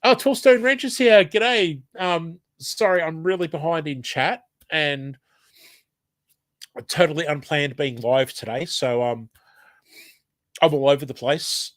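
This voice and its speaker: A man's voice: on the high side, a little slow, dry recording. An Australian man with hay fever and a blocked nose, casually reading a live stream chat aloud, hesitant and apologetic, with lots of ums.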